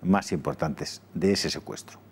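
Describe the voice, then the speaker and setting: A man hosting an interview, asking formal, measured questions. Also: very deep voice, a little mellow, breathy edge. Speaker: interviewer, host.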